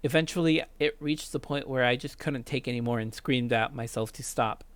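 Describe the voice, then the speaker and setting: A man's voice: medium pitch, fairly quick, clear, resonant tone, no room sound. A man reading a visual novel aloud as a let's player, with dramatic narration. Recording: loud music under voice. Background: loud game music.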